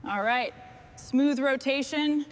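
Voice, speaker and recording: Woman mechanic, highish voice, short work callouts, bit of workshop noise behind her. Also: takes it slowly. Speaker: machinery restoration mechanic. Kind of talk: short scripted work instructions and remarks.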